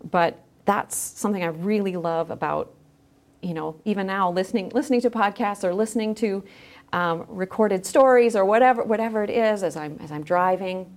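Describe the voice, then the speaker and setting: A woman's voice: medium pitch, normal speed, darkish tone. A woman speaking as a podcast guest in a thoughtful, conversational interview style, feeling her way through her phrases.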